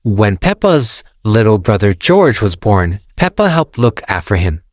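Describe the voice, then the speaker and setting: A man narrating a children's storybook aloud in an expressive, sing-song way, close to the mic. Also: on the low side, a little slow, dry recording.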